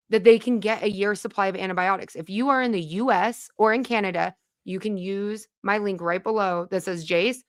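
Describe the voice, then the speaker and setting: A woman's voice: medium pitch, fairly bright tone, no echo, studio quality. A woman hosting a casual live stream from her home, chatting and reading viewer comments aloud. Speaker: livestream host.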